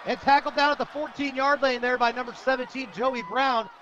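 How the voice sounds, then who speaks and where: An American man calling live football play-by-play on the radio with energetic, clipped calls. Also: very high-pitched, fairly quick, lo-fi sound. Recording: live outdoor broadcast with crowd noise. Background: stadium crowd.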